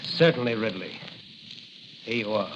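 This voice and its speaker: An actor playing a bold, confident masked crime-fighter in a 1940s radio drama, with a resolute, declamatory delivery. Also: male voice, on the low side, animated, moderate pace, natural voice. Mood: confident, defiant. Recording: noisy, muffled 1940 radio recording.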